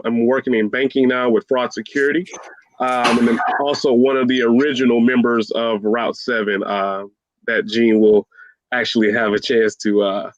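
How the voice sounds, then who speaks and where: Casting: laid-back man from Florida, panel guest, flat lo-fi sound, no room at all. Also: medium pitch, no echo. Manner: relaxed, hesitant fillers.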